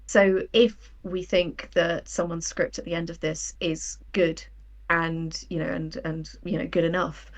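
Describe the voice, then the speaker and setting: Woman being interviewed, chatty n keen, explains stuff, lowish creaky voice. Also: flat delivery, a little slow. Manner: enthusiastic, explanatory. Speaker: guest, audio drama writer and producer. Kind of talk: conversational interview.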